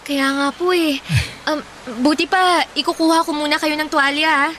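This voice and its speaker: Over noticeable ambient noise comes the fairly high voice of a caring young Filipina daughter. She speaks respectfully and earnestly, fussing tenderly as in a radio drama. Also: fairly even intonation, normal speed, bright, forceful tone. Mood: concerned, fussing. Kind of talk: radio drama acting in Tagalog. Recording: noisy ambience behind the voice.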